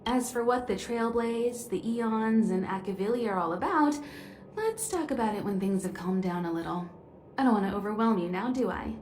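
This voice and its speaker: A woman's voice: medium pitch, expressive, normal speed, darkish tone, roomy sound, a little hiss or hum, lightly acted. A woman voicing a poised, knowing space-train navigator in a video game, composed and reassuring. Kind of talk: video game character dialogue.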